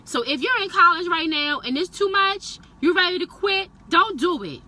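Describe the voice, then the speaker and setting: Brief: woman in her thirties, family vlog host, bright and emphatic. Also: very high voice, lively intonation, moderate pace. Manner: chatty, emphatic. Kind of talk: casual family chat. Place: in a car.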